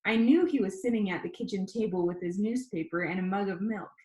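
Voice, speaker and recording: A woman reading a children's novel aloud like a teacher, in an informal, expressive way. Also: medium pitch, a little fast. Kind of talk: storybook narration read aloud. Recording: echoey room.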